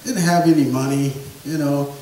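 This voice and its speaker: A man telling rambling family stories in a stand-up set, with a soft voice he says he has. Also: on the high side, fairly even intonation, moderate pace, heavy reverb, recorded from a distance, slight background noise, rough recording. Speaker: stand-up comedian. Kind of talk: storytelling stand-up comedy. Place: small theatre or bar stage.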